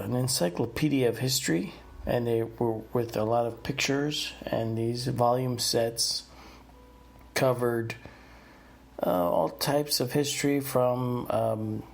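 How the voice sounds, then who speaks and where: A man's voice: mid-range voice, gravelly. A man in his fifties from the northeastern United States, whose first language was Italian, hosting a podcast and telling his life story in a rambling way with many fillers. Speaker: podcast host. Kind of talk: personal storytelling monologue.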